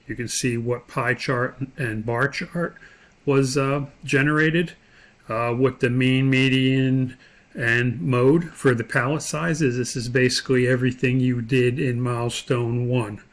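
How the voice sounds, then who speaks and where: A man's voice: mid-range voice, steady tone, unhurried. Man giving an informal tutorial walkthrough. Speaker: course instructor. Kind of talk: screen-share tutorial walkthrough, unscripted explanation. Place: indoors, voice-over for screen recording.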